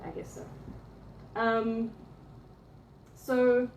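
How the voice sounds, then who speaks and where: A woman from New Zealand, an art teacher, chatting casually through a livestream tour of her studio, often pausing with ums and restarting her sentences. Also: fairly high pitch, monotone, slight background noise. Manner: hesitant, many ums and restarts. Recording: echoey room, voice from a distance.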